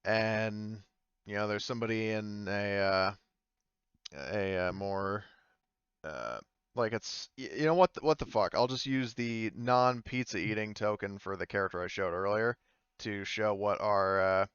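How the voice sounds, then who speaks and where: A man working as game master, narrating a tabletop game casually and haltingly as he thinks aloud. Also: on the low side, a bit bright, clear, resonant tone, no echo, lo-fi sound.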